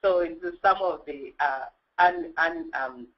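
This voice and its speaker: Male co-presenter, higher register, halting delivery, dull lo-fi sound. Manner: halting, searching for words. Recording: muffled, band-limited webinar audio.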